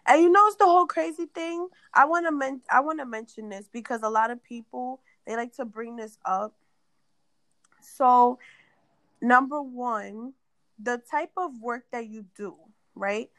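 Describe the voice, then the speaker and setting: A young woman from New York with a raspy voice after an asthma attack, hosting a casual podcast chat in a blunt, cursing rant. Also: on the high side, a little slow, fairly bright tone, no room sound. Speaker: podcast host.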